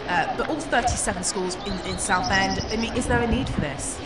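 A woman reporting live for radio from a school playground, asking brisk, upbeat questions into a handheld mic. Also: mid-range voice. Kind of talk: live outdoor radio interview. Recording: loud outdoor background noise.

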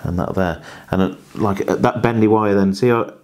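A man in his thirties, a fishing coach, showing how to tie a rig at a kitchen table in a chatty, halting way full of restarts and tag questions, on a lapel mic. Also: on the low side, a little mellow. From England. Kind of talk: hands-on demonstration chat. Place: indoors, small home kitchen table.

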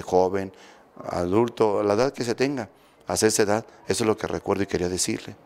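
A Mexican man in his fifties, a priest, giving a homily in a steady, instructive way, repeating phrases for emphasis, into a close handheld microphone. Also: lowish voice. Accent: Mexican Spanish. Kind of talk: sermon on family healing. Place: small church room with statues, indoors. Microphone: handheld mic close to mouth.

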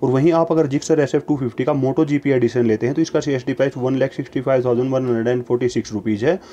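A man reads price lists at a brisk pace, each entry in the same steady pattern. His voice is warm and mellow, and the delivery stays even and matter-of-fact throughout. It is a voice-over laid over price slides. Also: medium pitch, lo-fi sound, slight background noise. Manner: steady, even list reading in set formulas. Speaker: narrator reading price lists. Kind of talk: scripted voice-over reading prices.

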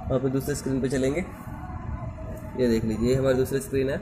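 A man's voice: mid-range voice, fairly even intonation, quick pace, over music. A man giving an unscripted step-by-step installation tutorial in a plain, instructional way, recorded indoors on a handheld device. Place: indoors in a room. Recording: clearly noisy amateur handheld recording.

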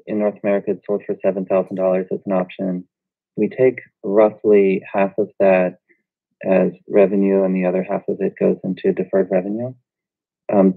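A man speaking as a finance executive, explaining figures on an earnings call in a measured, businesslike way. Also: low voice, vocal fry, breathy edge, no echo. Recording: dull, lo-fi conference call audio.

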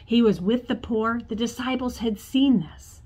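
A woman in her forties, a pastor, preaching a sermon to camera from her office, telling a story in a warm conversational way. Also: fairly high pitch, unhurried, breathy edge. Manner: storytelling, acts out quoted voices, gestures with hands. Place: church office with bookshelves, indoors.

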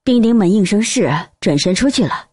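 A woman reading a novel aloud as an expressive, scripted audiobook narrator. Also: medium pitch, fairly quick, dry recording, studio quality.

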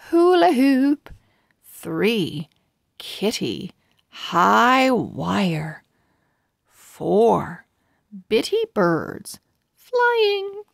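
A woman reading a children's storybook aloud deliberately, word by word, with expression for young readers. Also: on the high side, gravelly, no echo, clean studio sound. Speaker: children's read-aloud host.

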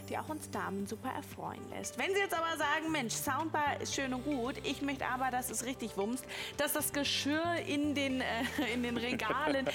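Lady with a highish voice doing a persuasive shopping channel pitch, music going behind her. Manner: emphatic, persuasive, addressing viewers directly. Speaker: TV shopping host. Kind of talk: live teleshopping sales pitch. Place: TV shopping studio.

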